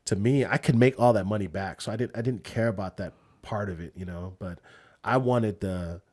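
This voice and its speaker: A man's voice: fairly low pitch, moderate pace, a little mellow. A man talking casually on a podcast in an informal, slangy way, often tagging his phrases with "man" and "you know". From United States.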